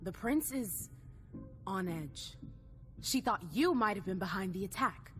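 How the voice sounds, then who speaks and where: An actress playing a self-assured, defiant vampire envoy in a video game, firm and challenging. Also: female voice, medium pitch, lively intonation, a little slow, a bit bright, soft background music. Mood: defiant, insistent. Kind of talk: dramatic video game acting.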